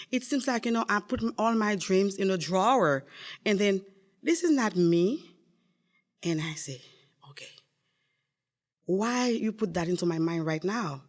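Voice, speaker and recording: An older woman from Haiti, a podcast guest telling her faith story earnestly, with many pauses and repeated words. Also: on the low side. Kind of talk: casual testimony.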